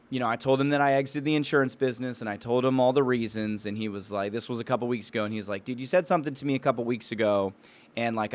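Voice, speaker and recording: A man's voice: mid-range voice, clean tone. A man chats as a podcast co-host in a mid-range voice. He talks informally, like someone telling a story to a buddy. The style is loose, conversational and a bit bro-ish. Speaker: podcast co-host and business owner. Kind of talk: casual conversational podcast talk.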